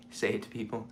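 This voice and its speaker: A man in his forties chatting casually on a home livestream, rambling with lots of fillers, with a mellow voice. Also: a little hiss or hum. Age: 40s. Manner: rambling, relaxed, many fillers. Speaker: livestreaming guitarist. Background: guitar playing.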